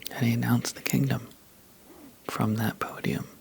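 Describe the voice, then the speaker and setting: Slow, half-whispering man telling a bedtime story. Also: medium pitch, little pitch movement, low-quality recording. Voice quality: soft, breathy, half-whispered. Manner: slow, drowsy, halting delivery with long pauses. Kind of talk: sleepy ASMR bedtime story, improvised narration.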